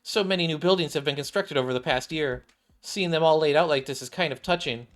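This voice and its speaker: A man's voice: fairly high pitch, quick pace. A man reading video game dialogue aloud as a streamer, acting out parts and sometimes stumbling over lines and rereading them. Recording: music playing behind the voice.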